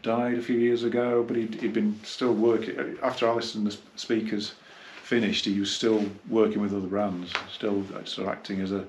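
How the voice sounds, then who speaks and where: A man gives a casual, unscripted hi-fi review, rambling and halting as he reminisces. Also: fairly low pitch, flat delivery, darkish tone, slight background noise, poor audio. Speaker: hi-fi dealer and reviewer. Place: small carpeted indoor room, seated.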